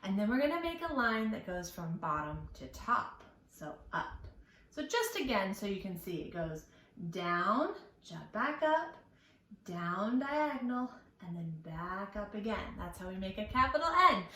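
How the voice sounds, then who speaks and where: A woman's voice: mid-range voice, very animated, a little slow, sharp tone, roomy sound. A woman leading a children's storytime lesson, speaking or singing in an encouraging, playful way with careful, exaggerated enunciation for little ones. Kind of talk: children's lesson with songs and questions.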